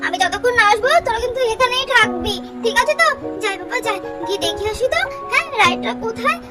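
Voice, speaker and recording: A woman voicing bossy, excitable little doll children in a cartoon story, chatty and insistent, repeating phrases. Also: very high-pitched, fast, voice pushed up. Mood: anxious. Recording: loud music behind the voice. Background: children's music.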